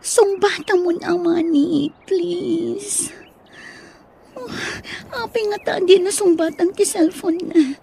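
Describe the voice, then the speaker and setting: High-voiced young woman, lovesick and plaintive, acted. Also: slow pace, gravelly, warm tone, exaggerated voice. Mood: worried, tearful. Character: young woman, a lovesick, fretful girlfriend and recent graduate. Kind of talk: Ilocano radio drama acting.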